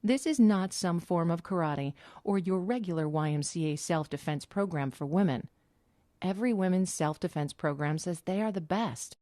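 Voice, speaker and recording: A woman's voice: lowish voice, normal speed, mellow, dry recording, studio quality. A woman reading a scripted, dramatic sales narration. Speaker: advert narrator.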